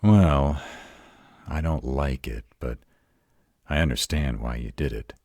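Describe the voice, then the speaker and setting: A man playing a grumpy, protective male were-dragon who talks in a gruff, sarcastic drawl in a roleplay audio drama. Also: very deep voice, slow, a little mellow, no room sound, clean studio sound. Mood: grudging, puzzled.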